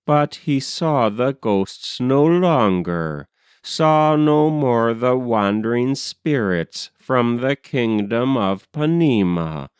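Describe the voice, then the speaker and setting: A man reciting narrative verse in a measured, solemn, dramatic manner, as a poetry reader. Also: mid-range voice, clean tone, no room sound, studio quality.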